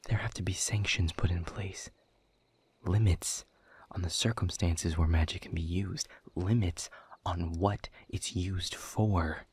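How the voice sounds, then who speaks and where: Guy doing a slow breathy low demon, tired and bitter, sardonic audio rp voice. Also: fairly even intonation, dry recording, exaggerated voice. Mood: earnest, weary. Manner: slow, grave, resigned, sardonic. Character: hopeless, weary male demon exile, bitter and sardonic. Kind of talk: intimate audio roleplay acting.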